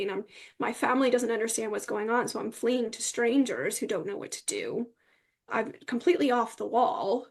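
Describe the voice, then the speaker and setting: A woman speaking as an interview guest, telling her personal story in a candid, emphatic way. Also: on the high side.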